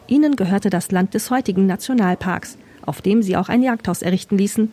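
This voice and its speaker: Dark-voiced woman reporting for radio. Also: medium pitch, fairly quick. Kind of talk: scripted narration.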